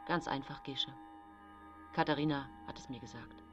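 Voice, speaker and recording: A woman voicing an evasive, apologetic male lover, earnest and halting, in a radio drama. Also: extremely low pitch, lo-fi sound, music in the background, pitched below the performer's own voice. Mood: apologetic, resolute.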